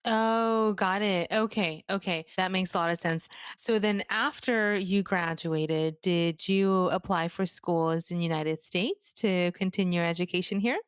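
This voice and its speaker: A woman's voice: on the low side, a little slow, few pauses, smooth, no room sound. A woman hosting a podcast, reading a prepared introduction and then interviewing a guest over a call. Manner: reads a prepared introduction, then asks questions. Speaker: podcast host. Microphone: remote call recording.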